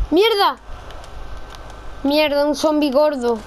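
Young woman from gaming, high excitable voice, bit of background noise. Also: extremely slow. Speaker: gamer. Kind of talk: casual gameplay role-play chat.

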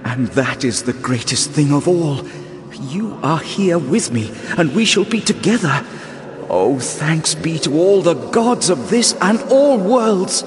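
An actor playing a devoted, defiant elf prince in an audio drama, speaking solemnly and formally. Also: male voice, a little high, sing-song, slow, background noise. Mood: awed, loving. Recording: long reverberant echo.

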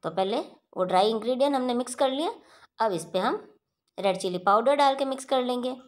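A woman hosting a home cooking channel, talking viewers through a recipe step by step in a steady instructional voice-over. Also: on the high side, fairly quick.

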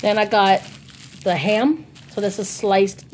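A woman's voice: medium pitch, fairly even intonation, takes it slowly, darkish tone, slight background noise. A woman chatting casually and informally in a rambling style, as a vlogger showing off her shopping. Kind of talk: casual haul vlog chat.

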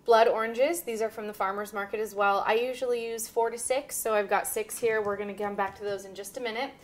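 A woman's voice: medium pitch, fairly even intonation, fairly quick. A woman in her thirties hosting a cooking show, giving upbeat, chatty instructions and laughing often in a home kitchen. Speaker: cooking show host and teacher. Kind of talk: casual cooking demonstration.

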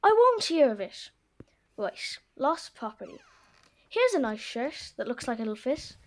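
A headstrong young girl, speaks in a very high, lively voice. She is emphatic and friendly, with big swings of pitch, in the manner of a children's audio drama. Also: unhurried. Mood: friendly, bossy. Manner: expressive, emphatic.

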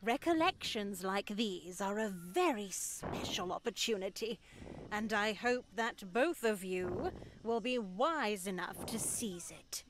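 Slow, solemn older nun. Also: female voice, mid-range voice, expressive, noisy background. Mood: benevolent, formal. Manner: measured, formal, sermonizing. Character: pious, stern older nun addressing girls in her care. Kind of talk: visual novel voice acting.

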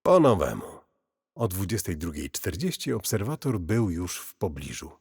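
A man narrating a scripted first-person horror story in a grave, deliberate, brooding manner. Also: deep voice, sing-song, a little mellow, breathy edge. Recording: cavernous long reverb.

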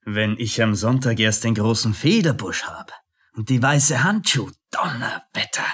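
A man playing a swaggering, lustful drum major in a German radio play, boastful and leering, with a husky voice. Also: medium pitch, lively intonation, measured pace, a bit bright.

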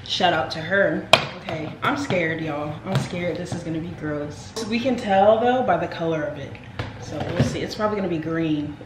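A young woman vlogging from her home kitchen, chatting playfully to viewers as she makes a smoothie. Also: on the low side, moderate pace. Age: young adult. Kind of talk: casual vlog chat to camera. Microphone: camera on the counter. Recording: echoey kitchen with household noise.

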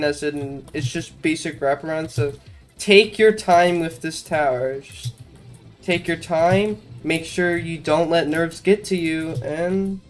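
Casual gamer doing a walkthrough, medium pitch voice, jokes at own expense, music behind. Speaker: game guide commentator. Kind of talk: casual game walkthrough commentary. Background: game music.

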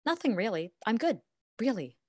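A woman's voice: a little high, very animated, moderate pace. A woman playing a discontented young wife in a radio drama, offhand and withdrawn. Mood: preoccupied. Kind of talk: naturalistic radio drama dialogue.

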